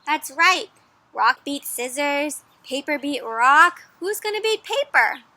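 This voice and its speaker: A young woman reads a picture book aloud to children with lively, theatrical expression. Her voice is high and bright. The recording is dry and close, with little room sound. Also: no echo. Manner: expressive, asks children questions, gasps and dramatic pauses. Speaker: storyteller reading to children. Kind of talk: animated children's read-aloud.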